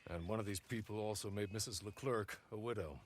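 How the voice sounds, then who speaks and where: An actor playing a polite, deferential manservant who speaks in a formal, servile manner. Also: male voice, fairly low pitch, brisk, a little hiss or hum, lightly acted. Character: deferential male servant to a rich widow. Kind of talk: video game cutscene acting.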